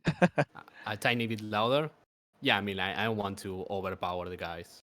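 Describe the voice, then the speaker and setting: A man with a fairly low voice who speaks as a talks loudly and chattily, dropping laughing asides as he goes. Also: normal speed. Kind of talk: casual gaming chat.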